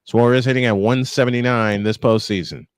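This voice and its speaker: A male play-by-play announcer calls baseball in a mid-pitched voice, close and dry. He slips easily between commentary and casual banter. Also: fairly even intonation, brisk, smooth, no echo.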